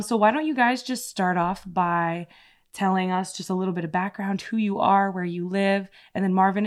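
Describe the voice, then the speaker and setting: Woman hosting a college podcast. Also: medium pitch. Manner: friendly, affirming listener. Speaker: podcast host. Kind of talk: podcast interview.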